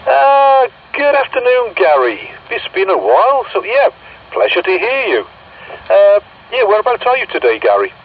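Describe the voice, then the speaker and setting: A man's voice: high voice, huge pitch swings, moderate pace. A British man running a radio exchange over CB, speaking politely and a little hesitantly. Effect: CB radio transmission. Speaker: CB radio net controller. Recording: muffled, noisy CB radio speaker.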